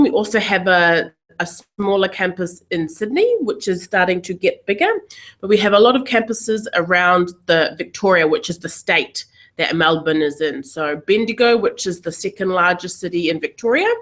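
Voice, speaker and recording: Low-voiced New woman presenting. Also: fairly bright tone. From New Zealand. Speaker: university recruitment coordinator. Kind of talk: webinar presentation.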